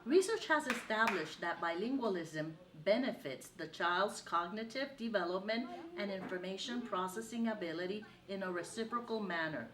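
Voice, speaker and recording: A woman professor giving a measured, formal scripted narration. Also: mid-range voice, animated, echoey room, background noise. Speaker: education professor presenting.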